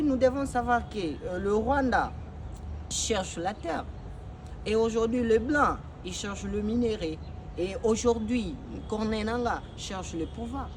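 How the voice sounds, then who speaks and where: A man's voice: sits high, slight background noise. A young man from Congo giving an impassioned political address, emphatic and repetitive, with frequent hesitations. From Democratic Republic of the Congo. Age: young adult. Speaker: activist giving a video message.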